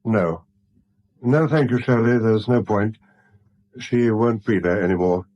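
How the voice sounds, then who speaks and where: A man's voice: lowish voice, a little slow, a little mellow, lo-fi sound. Anxious, shaken English businessman. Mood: resigned, shaken. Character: anxious middle-aged businessman, outwardly polite, shaken. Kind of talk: 1970s British radio drama acting.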